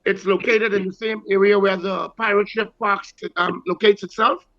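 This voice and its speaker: A man's voice: high-pitched, flat delivery, normal speed, dull audio. A Bahamian man speaking as an interview guest, explaining things politely in a conversational way. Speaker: guest, leader of a Junkanoo group.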